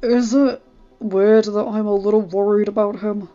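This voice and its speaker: Woman doing a gruff, commanding male gang leader. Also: mid-range voice, fairly even intonation, moderate pace, dark tone, highs cut off, faint music behind, lightly acted. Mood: worried. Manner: barking, growling orders.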